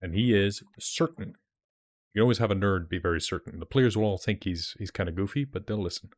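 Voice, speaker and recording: A man's voice: lowish voice, fairly quick. Icelandic-accented man chatting on stream. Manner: rambling, many restarts, answers chat. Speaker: streamer and dungeon master. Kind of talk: casual livestream chat with viewers.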